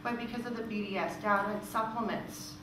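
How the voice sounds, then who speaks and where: A woman's voice: mid-range voice, a little fast. A woman answering audience questions at an informal presentation, explaining things and repeating phrases for emphasis. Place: echoey room at a live presentation. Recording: echoey room, distant mic, clear background noise.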